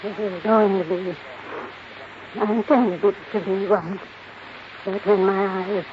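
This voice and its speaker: Actress as a crotchety, bossy old matriarch. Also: female voice, mid-range voice, fairly even intonation, slow, natural voice. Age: older. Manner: blunt, demanding, cantankerous. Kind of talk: 1950s radio drama acting. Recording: noisy, muffled old 1950s radio recording.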